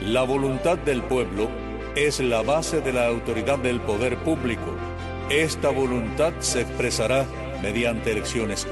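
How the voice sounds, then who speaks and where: Man reading formal text over music. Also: medium pitch. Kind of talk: scripted reading of legal text.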